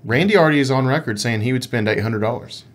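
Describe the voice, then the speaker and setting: A man's voice: mid-range voice, fast talker. A man co-hosting a gaming podcast, chatting casually in a playful, rambling, explanatory way. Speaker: podcast co-host.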